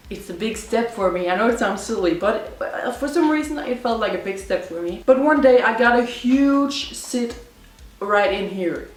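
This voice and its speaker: A woman's voice: mid-range voice, far from the mic. Chatty young woman in an echoey room. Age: 20s. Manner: chatty, rambling, with many fillers and self-corrections. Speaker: vlogger. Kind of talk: casual chat. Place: indoors at home.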